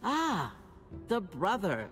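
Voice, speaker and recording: An actress playing a courteous, persuasive female settlement leader in a video game, speaking with genteel, measured politeness. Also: mid-range voice, wildly swooping pitch, exaggerated voice. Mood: gracious, teasing. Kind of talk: naturalistic dramatic game acting. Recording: music under the voice.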